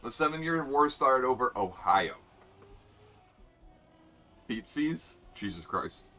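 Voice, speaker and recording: A young man rambles through casual game commentary in a bright, forceful voice. His pitch swings widely as he jokes and reads out player names. Soft music plays faintly behind him. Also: big pitch swings, takes it slowly, low-quality recording. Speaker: streamer.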